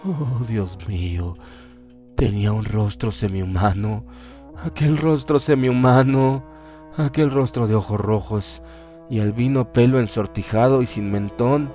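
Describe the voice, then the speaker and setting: Un hombre interpretando a un aldeano horrorizado y angustiado. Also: male voice, lowish voice, mellow, faint music behind, close to an everyday voice. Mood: horrified, anguished. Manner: anguished, halting, repeating himself. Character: horrified villager recounting a monstrous vision. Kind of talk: horror radio drama acting.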